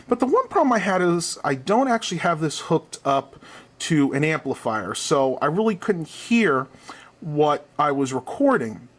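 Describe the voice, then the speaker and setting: A man reviewing a product in a casual hands-on demonstration, explaining things methodically step by step. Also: on the high side.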